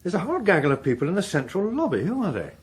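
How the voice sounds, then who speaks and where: Suave British politician, slightly husky. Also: male voice, sits high, huge pitch swings, heavily acted. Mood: drily urbane. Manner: measured, formal, evasive, drily witty. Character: suave, unflappable veteran politician, a chief whip. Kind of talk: British political radio drama acting.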